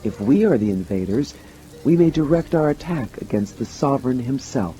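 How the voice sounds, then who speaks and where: A man's voice: mid-range voice, animated, normal speed, dark tone, a little hiss or hum, rough recording. A man gives a dramatized scripted reading of commentary as an audiobook reader.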